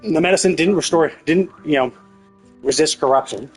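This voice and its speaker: A man doing casual live game stream commentary, rambling and thinking out loud with casual swearing. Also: a little high, moderate pace, breathy edge, low-quality recording. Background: faint game music.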